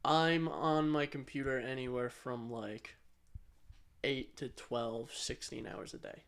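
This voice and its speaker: A man's voice: medium pitch, flat delivery, slow pace, fairly bright tone, clear, resonant tone. Blunt, casual man chatting. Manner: blunt, sweary, rambling. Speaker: podcast co-host. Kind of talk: casual tech chat.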